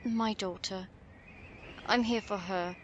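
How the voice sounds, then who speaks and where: Lady doing a slow quiet sad canine warrior woman, halting, guarded, fantasy story, bit of background noise. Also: mid-range voice, steady tone, muffled recording, pitched above the performer's own voice, natural voice. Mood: sorrowful, guarded. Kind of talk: dramatic fantasy audio story.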